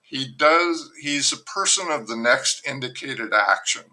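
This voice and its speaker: An older man, a novelist, talking through his book in a relaxed, thoughtful interview, pausing often and restarting sentences. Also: medium pitch. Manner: unhurried, thoughtful, with frequent fillers and restarts. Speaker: novelist guest. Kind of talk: reflective conversational interview.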